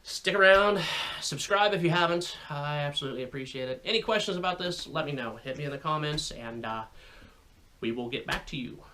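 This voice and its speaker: A man's voice: a little high, brisk, pushed and bright, slight background noise. An American man hosting an informal hobbyist explainer video, speaking in a folksy, plain-spoken, colloquial way. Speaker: radio hobbyist video host.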